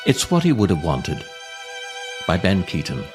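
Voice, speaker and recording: A man with a low voice delivers a scripted comic monologue. His delivery is theatrical and wry, swinging widely in pitch and taking its time with deliberate pauses. Faint music plays underneath. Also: wildly swooping pitch. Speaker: podcast host, writer and actor reading his own work.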